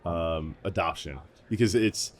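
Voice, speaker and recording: A man with a somewhat low voice acts as interviewer. He talks in a casual, conversational way as he hosts the discussion. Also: measured pace. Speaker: interviewer, host.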